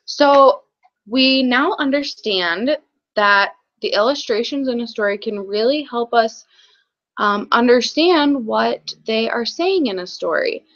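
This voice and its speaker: A woman teaches young children through a read-aloud, explaining in a halting way. Her voice is a bit high and very unhurried. The recording sounds dull and lo-fi. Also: animated, a bit bright.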